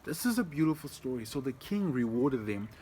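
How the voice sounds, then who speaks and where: A man's voice: medium pitch, very animated, brisk, warm tone, slight background noise, rough recording. A man telling a story to children informally as a church storyteller, explaining things and addressing them directly.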